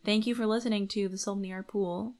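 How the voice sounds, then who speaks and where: A woman's voice: medium pitch, steady tone, fairly quick, darkish tone. A woman co-hosting a podcast, discussing a book casually and reasoning her points through in a conversational way. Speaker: podcast co-host.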